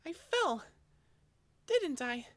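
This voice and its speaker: A woman's voice: sits high, sing-song, drawn-out words, no room sound, voice pushed up, exaggerated voice. A reader voicing a frightened, lost young woman who stutters and trembles.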